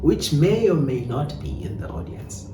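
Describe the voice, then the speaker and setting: A South African man giving conversational interview answers as a playwright and director, telling things in an animated storytelling way. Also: on the high side, measured pace. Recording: echoey room, distant mic, clear background noise.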